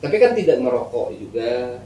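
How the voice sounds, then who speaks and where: A man, hosting a casual interview in halting short phrases. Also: mid-range voice, very animated, warm tone. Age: early 40s. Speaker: podcast host. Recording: echoey room, distant mic, faint noise.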